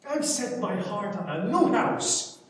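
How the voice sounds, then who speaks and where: Earnest, resolute young farmer played by a man, stage drama; animated, distant mic, long hall reverb. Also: high-pitched, takes it slowly, full character voice. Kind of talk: dramatic stage reading of an Irish rural play. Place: large reverberant hall, recorded from afar. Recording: echoey hall, distant microphone.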